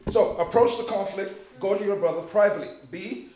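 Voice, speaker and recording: Liberian-born pastor, high-pitched, emphatic and humorous sermon delivery, roomy hall sound. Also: male voice, fairly quick, a little mellow. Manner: emphatic preaching with humor and repetition.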